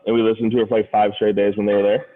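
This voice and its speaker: Fast-talking man on a phone-like line. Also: on the low side, level tone, slight background noise. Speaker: podcast guest, former golf caddie. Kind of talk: casual chat. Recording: phone-like, thin band-limited sound.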